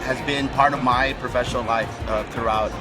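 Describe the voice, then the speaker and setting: A man's voice: mid-range voice, steady tone, fairly quick, poor audio. Guy from guatemala, earnest physical therapist, lots of "you know", middle voice, music behind. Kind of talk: conversational interview. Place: conference booth.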